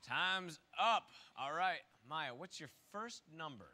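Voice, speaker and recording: A man with a high, very animated voice hosts a children's game show. He plays up every line with theatrical, jokey flair, his pitch leaping around. Music plays behind him in the studio. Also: slow pace. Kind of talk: playful game show hosting and scripted storytelling. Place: large television studio stage.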